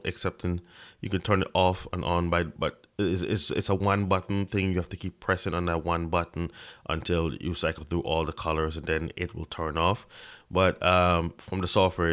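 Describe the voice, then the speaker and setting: Guy with a really deep low voice reviewing a mic at his desk, stutters and restarts a lot. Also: clear, resonant tone. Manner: stutters, repeats and breaks off words. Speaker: tech reviewer. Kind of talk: casual product review. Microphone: desk microphone under review.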